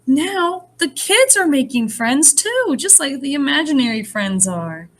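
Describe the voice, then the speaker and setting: Animated woman teacher with a high voice. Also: unhurried. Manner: animated, encouraging, child-directed. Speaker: first-grade teacher. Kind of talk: read-aloud and lesson addressed to young children.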